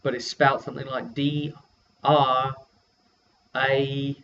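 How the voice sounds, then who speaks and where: Male game master and vlogger, casual and halting recap, middle-pitched voice, lo-fi audio with faint noise. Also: flat delivery, creaky, fairly bright tone. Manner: halting, self-correcting delivery. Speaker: game master and video host. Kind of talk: casual rambling session recap. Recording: dull lo-fi sound with faint noise.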